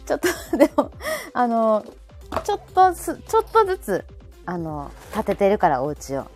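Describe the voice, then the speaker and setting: A woman's voice: mid-range voice, huge pitch swings, moderate pace, darkish tone. A woman with a Kansai accent hosting a casual live chat, talkative and often chiming in with repeated short responses. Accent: Kansai Japanese. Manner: chatty, frequent repeated backchannels. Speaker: talk show host. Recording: background music behind the talk. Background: background music.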